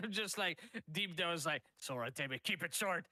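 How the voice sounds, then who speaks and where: Talkative, bright-voiced man, dry close mic. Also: sits high, sing-song, measured pace, no echo. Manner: talkative, teasing, laughs often. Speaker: podcast guest. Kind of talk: casual group chat.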